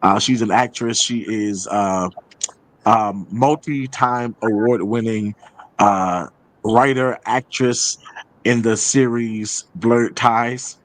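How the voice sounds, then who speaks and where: A man's voice: fairly low pitch, fairly even intonation, slow, dry recording, rough recording. A man hosting a show, chatting casually through announcements and often repeating phrases for emphasis. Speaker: show host and playwright.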